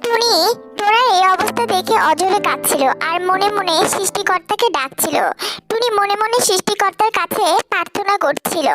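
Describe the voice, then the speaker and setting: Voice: very high voice, rough recording. A narrator reading a scripted cartoon story for children. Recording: music behind the voice.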